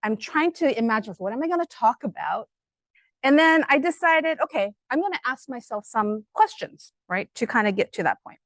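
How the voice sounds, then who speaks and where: A middle-aged woman with a loud voice, giving a lively, conversational academic talk to an audience, full of fillers and enthusiasm. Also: a little high, moderate pace, no echo, studio quality. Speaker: guest speaker at an academic colloquium.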